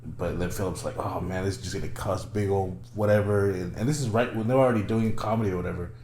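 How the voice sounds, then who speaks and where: A man's voice: sits low, quick pace, a little mellow. A young man chatting on a podcast, telling rambling, crude, teasing stories with lots of stammered restarts. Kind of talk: casual chat. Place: room in Fresno. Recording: roomy echo, faint background hum.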